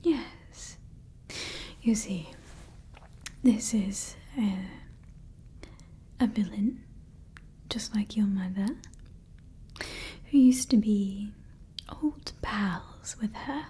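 A woman voicing a scheming female evil scientist who calls herself Mother, in a slow, soft, conspiratorial whisper for ASMR roleplay on a close microphone. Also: mid-range voice, slightly rough, heavily acted. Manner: slow, intimate, conspiratorial ranting. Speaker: ASMR creator.

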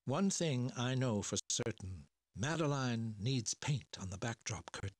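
A playful storybook narrator for a children's game, speaking in theatrical sing-song rhyme. The pitch swoops freely and the tone is a little husky. The recording is close and dry. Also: male voice, expressive, a little slow, dry recording. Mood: playful, instructive. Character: storybook narrator, adult man, playful rhyming guide.

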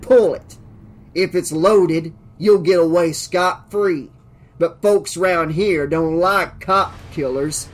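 Man voicing a loud, sneering, domineering sheriff. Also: fairly high pitch, takes it slowly. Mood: sneering, goading. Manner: taunting, loud, bullying. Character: domineering, sneering, goading male Texan small-town sheriff.

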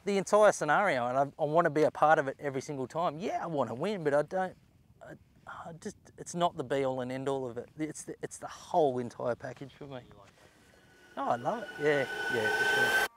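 A man with an Australian accent speaking earnestly about tournament fishing, with halting restarts. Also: fairly high pitch, wildly swooping pitch, a bit bright. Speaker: tournament angler. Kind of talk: reflective interview and tips.

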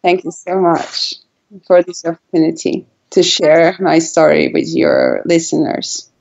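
A slow-speaking woman in her forties from Portugal tells her story as an interview guest. She talks hesitantly, full of fillers and restarts, in a slightly low voice. The audio sounds dull and lo-fi. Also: huge pitch swings, creaky. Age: 40s. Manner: hesitant, many fillers and restarts, laughs softly. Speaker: guest, brand founder. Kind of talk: casual interview.

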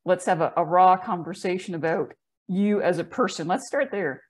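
A woman from Newfoundland hosting a podcast interview from her home office, speaking haltingly with repeated words and plenty of enthusiasm. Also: lowish voice. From Newfoundland, Canada. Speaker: podcast host. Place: home office with bookshelves, indoors. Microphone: video call with earbuds.

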